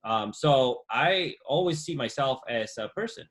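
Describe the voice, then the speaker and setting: A man's voice: mid-range voice, measured pace. Man on a video call. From Indonesia. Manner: relaxed, many fillers and "you know". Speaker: guest entrepreneur. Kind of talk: casual interview chat. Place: home room, indoors. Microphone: video call webcam.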